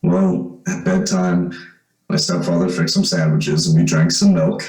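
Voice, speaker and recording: A man's voice: sits low, huge pitch swings, a little slow, darkish tone, reverberant, exaggerated voice, low-quality recording. An actor playing an evasive young man pleading his case in an old-time radio suspense drama. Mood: tense narration. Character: young man claiming to be long-lost son, evasive, pleading.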